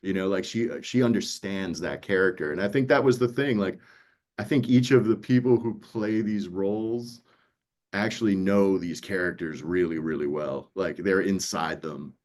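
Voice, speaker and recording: A man's voice: low-pitched, normal speed, darkish tone. A man from New York, an audiobook producer, chatting casually and telling stories by acting out bits of dialogue. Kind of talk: casual panel conversation.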